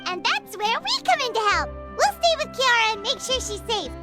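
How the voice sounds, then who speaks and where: A woman voicing a tiny, excitable female fairy companion in a video game, bubbly and boastful, with music behind her. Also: normal speed. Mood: eager. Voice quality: squeaky, high and bubbly. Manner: bouncy, eager and boastful.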